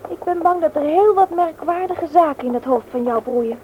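A woman's voice: high voice, moderate pace, narrow, tinny audio, background noise, natural voice. An actress playing a teasing, condescending young woman in a radio drama, with a mocking, haughty manner. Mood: mocking, fond. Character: sharp, teasing young dental assistant, condescending.

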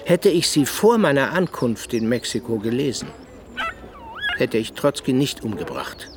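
An actor playing a disillusioned assassin and former prisoner in a radio drama, speaking in an earnest, brooding way. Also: male voice, mid-range voice, big pitch swings, unhurried, background noise. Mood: brooding, reflective. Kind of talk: German radio drama acting.

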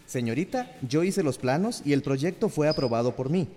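A brusque, evasive male engineer with a fairly high, animated voice, played by an actor. He sounds defensive in radio soap style, with reverb ringing around him. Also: normal speed, close to an everyday voice. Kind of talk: radio soap opera acting.

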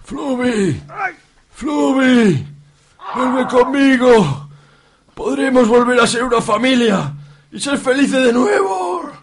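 A man playing a melodramatic, self-serving mayor in a comic radio soap, husky and declamatory. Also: extremely high pitch, big pitch swings, takes it slowly, pauses often, exaggerated voice. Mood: pleading, loud. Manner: theatrical, declamatory.

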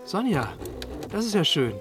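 An actor playing a wary, dry-witted partner and lecturer, speaking tersely in a naturalistic radio drama. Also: male voice, sits high, sing-song, unhurried, over music. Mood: subdued, probing.

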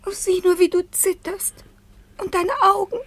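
Very high-pitched anxious woman. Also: little pitch movement, measured pace. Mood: alarmed, puzzled. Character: anxious, bewildered woman in gothic melodrama. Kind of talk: 1950s German radio play acting.

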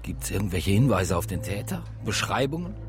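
Low-voiced, terse police investigator. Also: male voice, big pitch swings, fairly quick, music in the background. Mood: probing, businesslike. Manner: terse, matter-of-fact. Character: sober, probing male police investigator. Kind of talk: naturalistic radio drama acting.

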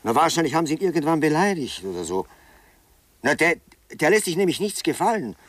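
An actor playing an unruffled, gossipy local bystander who chats and explains in a 1950s German radio drama. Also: male voice, a little high, big pitch swings, brisk, no echo. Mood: knowing, gossipy. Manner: chatty, explaining. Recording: slightly distant, dry 1950s radio recording.

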